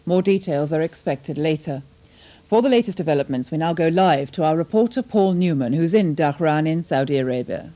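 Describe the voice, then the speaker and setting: A woman's voice: deep voice, animated, a little fast, mellow, muffled recording. A British woman reading scripted television news headlines as a newsreader.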